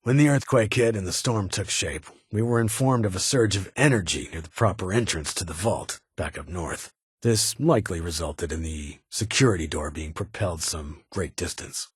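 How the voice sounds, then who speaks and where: A man's voice: deep voice, moderate pace, breathy edge, no echo, clean studio sound. A man playing a stern, guarded military security chief in a video game, with a clipped, authoritative and brusque manner. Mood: grave, warning. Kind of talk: video game character dialogue.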